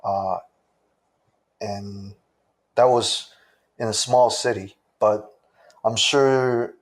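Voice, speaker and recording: A man from southern China answering interview questions in a measured way, pausing often with "uh". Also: lowish voice, steady tone. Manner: slow, measured, with frequent "uh" pauses. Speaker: interview guest.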